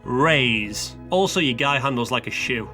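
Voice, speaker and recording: A man narrates a scripted comic review with heavy sarcasm and swearing. His delivery swings widely in pitch for mock drama, with clear music running underneath him. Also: expressive, measured pace, sharp tone. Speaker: narrator of a video game countdown review. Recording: music playing behind the voice. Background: background music.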